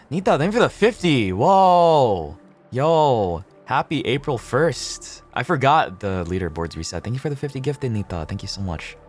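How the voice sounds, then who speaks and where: Deep-voiced young man streaming games. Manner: slangy, sweary, laid-back. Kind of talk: casual livestream gameplay chat.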